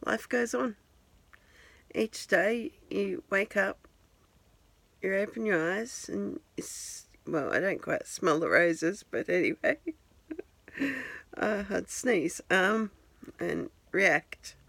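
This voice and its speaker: A woman's voice: mid-range voice, animated, slow, gravelly, long pauses, a bit bright, slightly rough, no echo. A woman, chatting to her phone camera at home in a rambling, self-deprecating way. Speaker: vlogger. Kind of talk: casual talk to camera. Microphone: handheld phone.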